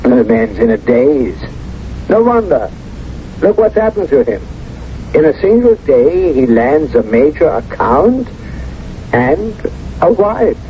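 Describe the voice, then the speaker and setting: A man's voice: fairly high pitch, big pitch swings, slow pace, long pauses. An actor playing a genial, self-assured businessman with a polished, effusive manner in a 1970s radio drama. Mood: jovial, admiring. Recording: muffled, faintly noisy old radio broadcast.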